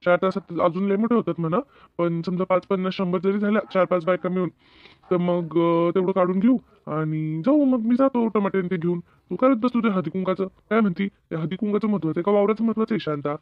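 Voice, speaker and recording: A man's voice: high voice, fast, no room sound. A man playing a bossy, practical farmer husband in a comedy cartoon, insistent and colloquial, with a rural Maharashtrian accent. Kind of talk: animated comedy cartoon dialogue. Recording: narrow phone-like band, dry.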